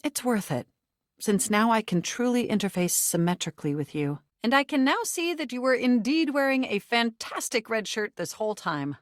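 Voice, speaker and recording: Game role: sentient home computer. Nerdy, verbose, formal robotic wording with very lively intonation and a dry studio sound. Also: female voice, fairly high pitch, moderate pace, no echo. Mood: formal, pleased. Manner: stilted, verbose, technical robotic phrasing. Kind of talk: scripted video game character voice acting.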